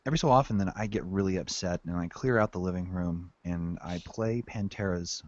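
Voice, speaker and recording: A man's voice: low-pitched, fairly quick, a loud crowd. A man from Virginia Beach, a podcast co-host in casual chat who makes blunt, wisecracking remarks. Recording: dull lo-fi podcast audio.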